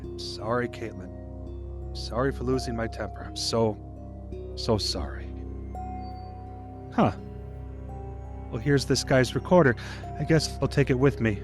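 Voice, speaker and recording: Audio drama role: male actor as tender, halting deputy dad, guilt-ridden and protective, faint music underneath. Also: mid-range voice, natural voice. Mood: guilty, sorrowful, then grim, resolute. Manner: tender, halting, occasionally stern. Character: protective, guilt-ridden father, a deputy hiding his vampire daughter. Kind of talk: dramatic audio theatre acting.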